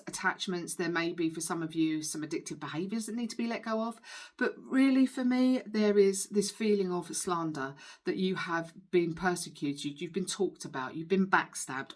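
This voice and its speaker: A woman giving an informal tarot reading as a spiritual healer, speaking in an emphatic, earnest and reassuring way. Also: fairly low pitch.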